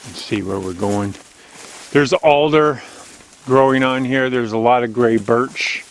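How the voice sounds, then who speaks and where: A man with a strong, loud voice hosting a walking video outdoors on an overgrown logging road, chatty and rambling as he narrates, recorded on a handheld camera. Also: medium pitch, unhurried, a little hiss or hum, low-quality recording. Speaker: channel host. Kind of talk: casual walking vlog narration. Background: faint outdoor nature ambience.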